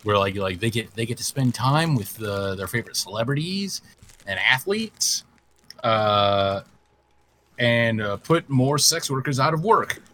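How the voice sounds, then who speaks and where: Husky, stammering joking man. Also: mid-range voice, animated, measured pace, a bit bright. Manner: stammering, joking, laughs often. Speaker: podcast co-host. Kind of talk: casual comedic banter.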